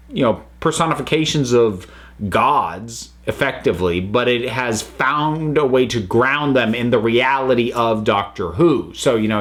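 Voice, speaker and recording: A man hosting his own review show, talking things through in an unscripted, meandering way. He stops and restarts often, filling gaps with ums and uhs. His voice sits in an ordinary middle pitch. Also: fairly bright tone. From United States. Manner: rambling, hesitant, many fillers and restarts. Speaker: review channel host. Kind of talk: casual spoken review.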